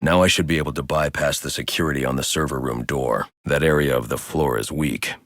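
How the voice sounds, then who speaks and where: An actor playing a grim, brooding, menacing masked vigilante detective in a video game, with a gravelly voice and a stern, terse, intimidating delivery. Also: male voice, a bass voice, a little fast, a bit bright, dry recording, studio quality. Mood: focused, brooding. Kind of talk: dramatic video game voice lines.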